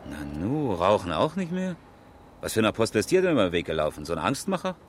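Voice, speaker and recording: Casting: sardonic, pushy engineer, highly animated delivery, 1970s radio drama with faint background noise. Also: male voice, fast talker. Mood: sardonic, coaxing. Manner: chummy, bossy, sardonic. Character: breezy, pushy East German engineer and rally driver. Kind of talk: naturalistic 1970s radio crime drama acting. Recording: faintly noisy old radio play recording.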